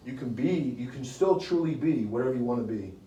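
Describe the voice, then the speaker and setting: A man's voice: mid-range voice, expressive, brisk, dark tone. Guy running a sales seminar, chatty, keeps saying okay?, echoey room, mic kinda far off. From United States. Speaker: real estate sales trainer. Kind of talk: live seminar coaching. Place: meeting room with a small audience. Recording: echoey room, recorded from afar, faint hiss.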